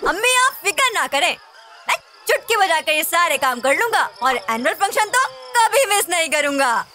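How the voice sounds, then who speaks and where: Bright, very high-pitched impulsive little boy. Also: big pitch swings, moderate pace, faint music behind, exaggerated voice. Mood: confident, eager. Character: impulsive, boastful young schoolboy, contrite when caught. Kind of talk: children's cartoon dubbing.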